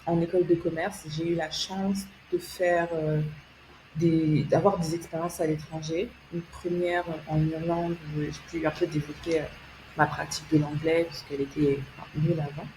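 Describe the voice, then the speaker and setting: A woman's voice: deep voice, little pitch movement, moderate pace, darkish tone, a little hiss or hum, poor audio. A young woman from France, a guest telling her story in an interview, hesitant, with many fillers and restarts, laughing easily. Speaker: guest, tech founder and consultant.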